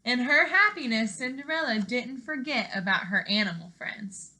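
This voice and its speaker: A woman with a fairly high, bright voice talks like a primary school teacher to little kids. She is animated and encouraging, with simple, expressive phrasing suited to reading stories aloud and asking questions. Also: normal speed, poor audio. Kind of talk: expressive children's read-aloud and lesson talk to young pupils. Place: living room at home.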